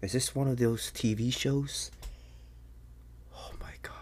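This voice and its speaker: Man acting a husky, anxious teenage werewolf boy. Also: mid-range voice, steady tone, darkish tone. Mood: shocked, bewildered. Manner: hesitant, halting, often breathy half-whisper. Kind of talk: ASMR audio roleplay.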